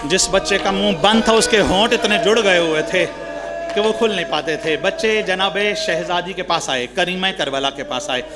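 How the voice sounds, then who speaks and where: A man giving an impassioned mourning sermon, often turning to his listeners with rhetorical questions. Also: high voice. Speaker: religious mourning orator.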